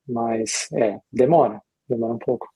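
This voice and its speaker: A middle-aged man with a Brazilian Portuguese accent, speaking as an interview guest in a casual, reflective way, pausing and repeating himself as he recounts his experience. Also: medium pitch, dark tone, slightly rough, rough recording. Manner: reflective, hesitant with pauses and repetitions. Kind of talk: casual conversational storytelling.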